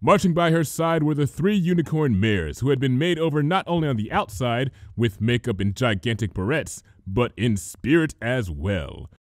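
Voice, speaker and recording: A man narrates a scripted story with theatrical flair. His medium-pitched voice rises and falls widely from phrase to phrase. The recording is tight and dry, without room sound. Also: big pitch swings, normal speed, hardly any pauses, no echo. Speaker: fan fiction reader, narrator.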